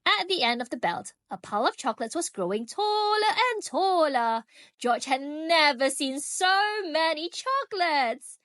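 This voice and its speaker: A woman reading a children's book aloud in an expressive, animated way, drawing out key phrases for young listeners. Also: high voice, measured pace, no room sound. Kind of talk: expressive storybook read-aloud.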